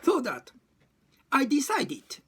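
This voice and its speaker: A woman with a Japanese accent speaking non-native English in a halting, emphatic way, repeating phrases as in an informal personal talk. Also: high-pitched, wildly swooping pitch, a bit bright. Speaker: discussion group chair and facilitator. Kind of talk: informal monologue about personal experience.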